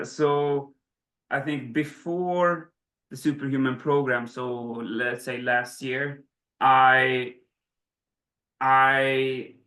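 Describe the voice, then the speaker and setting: A man's voice: medium pitch, pushed and bright. A man from Sweden talking as an interview guest, hesitant, with many restarts and fillers. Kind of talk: casual interview. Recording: roomy echo.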